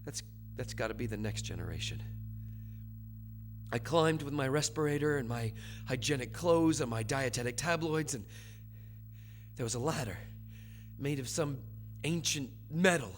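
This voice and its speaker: An actor playing a rebellious, obsessed son in a theatrical audio drama, speaking fervently with halting repetitions. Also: male voice, medium pitch, lively intonation, slight background noise, natural voice. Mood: hushed, awed. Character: young rebellious son, obsessed, confessing his escape. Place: stage before a studio audience. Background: music.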